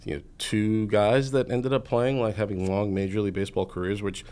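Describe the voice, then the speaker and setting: A man's voice: lowish voice, little pitch movement, a little fast, darkish tone, smooth. A man, telling his story as an interview guest in a rambling way, full of "you know" fillers. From Massachusetts. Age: 40s. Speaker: guest, entrepreneur.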